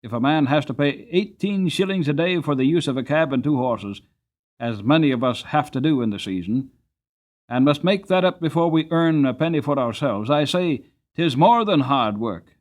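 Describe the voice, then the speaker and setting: A man's voice: a little high, expressive, moderate pace, a little mellow, pitched above the performer's own voice, lightly acted. A man voicing a shabby, desperate London cab driver in an audiobook, complaining in an impassioned, emphatic way. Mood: desperate, aggrieved. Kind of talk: dramatic audiobook character reading.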